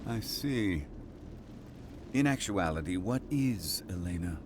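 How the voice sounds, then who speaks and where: An actor playing an erudite, haughty and curious fantasy-game scholar, with pompous, formal, archaic wording. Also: male voice, low voice, lively intonation, slow pace, background noise. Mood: condescending, probing. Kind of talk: video game character acting.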